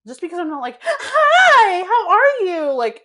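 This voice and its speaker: A young woman co-hosting a podcast, talking in a chill, calm, monotone way in casual chat with a friend. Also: very high-pitched, measured pace. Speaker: podcast co-host.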